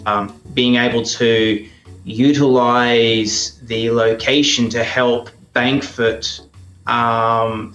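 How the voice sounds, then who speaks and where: Echoey room, man, medium pitch, casual interview chat, hesitant phrasing. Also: fairly bright tone. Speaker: interview guest, radio play performer. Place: indoors at a heritage house. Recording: roomy echoing sound.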